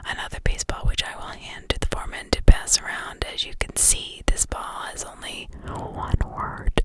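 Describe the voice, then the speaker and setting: A woman's voice: normal speed, close to an everyday voice. A woman reading the part of a pointed, theatrical male defense lawyer in a whisper, with pressing rhetorical delivery. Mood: persuasive.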